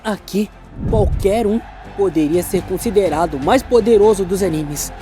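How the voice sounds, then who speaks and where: A man's voice: sits high, normal speed, dark tone, faint music behind, natural voice. Man as dramatic young anime judge, Brazilian accent. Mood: explanatory. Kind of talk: scripted animated debate, commentary.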